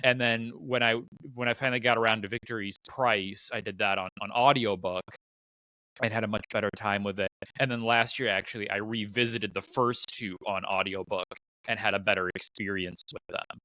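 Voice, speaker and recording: A man's voice: fairly low pitch, fairly even intonation, a little fast, pushed and bright, no echo, poor audio. A man co-hosting a podcast, chatting casually and thinking aloud in a rambling way. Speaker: podcast co-host.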